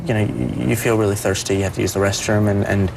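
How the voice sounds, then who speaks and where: A young man speaking as a performer guest on a TV interview, soft-voiced and earnest, often restarting his sentences. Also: fairly low pitch, steady tone, fast, creaky, background noise. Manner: earnest, with many restarts and repeated words. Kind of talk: TV morning show interview.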